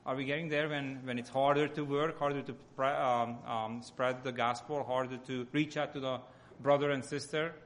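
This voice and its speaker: A man with a Hungarian accent gives an informal sermon as a lay church speaker, often halting as he searches for words. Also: medium pitch, steady tone, a little hiss or hum.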